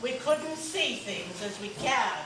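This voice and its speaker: A woman's voice: fairly high pitch, lively intonation, slow pace. A woman playing a young, thoughtful male president in a readers' theater stage reading. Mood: reflective. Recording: echoey room, distant mic, noisy.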